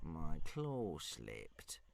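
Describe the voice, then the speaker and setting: A man voicing a big, sly hunting dragon in a storybook reading, with a slow, menacing, purring manner. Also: very deep voice, wildly swooping pitch, creaky, a loud crowd, pitched below the performer's own voice, full character voice. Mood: whining.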